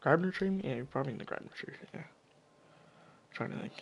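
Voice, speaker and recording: A man giving casual, profane solo commentary while slowly losing his voice, stumbling over names as he goes. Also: a little high, animated, creaky, husky, highs cut off. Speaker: hockey video commentator.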